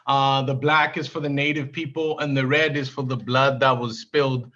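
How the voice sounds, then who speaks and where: A man's voice: mid-range voice, flat delivery, moderate pace, bright, forceful tone. A man from Zambia, a guest golfer, talking in a friendly, enthusiastic way. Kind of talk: casual interview chat. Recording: lo-fi stream audio.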